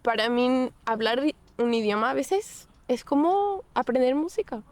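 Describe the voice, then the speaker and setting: A woman's voice: high-pitched, lively intonation, measured pace, dry recording. Young woman, hesitant. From Portugal. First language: Portuguese. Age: young adult. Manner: hesitant, many restarts and fillers. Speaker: guest. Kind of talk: casual interview.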